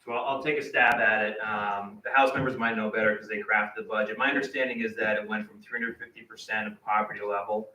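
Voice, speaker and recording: A man's voice: on the low side, steady tone, fast, few pauses, pushed and bright. A man on a panel of state legislators, answering questions casually and plainly at a public forum. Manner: casual, plain-spoken, opinionated. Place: community meeting hall. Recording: roomy hall, distant mic.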